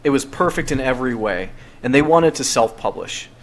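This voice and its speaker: A man hosting a podcast, talking in a relaxed, conversational way with the occasional light chuckle. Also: medium pitch, fairly quick. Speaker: podcast host. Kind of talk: casual podcast chat.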